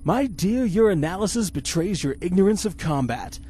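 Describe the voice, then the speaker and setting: A man's voice: high voice, lively intonation, normal speed, warm tone. A man playing a pompous, incompetent, self-admiring spaceship captain in the style of a 1950s radio serial. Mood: condescending. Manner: pompous, grandiose.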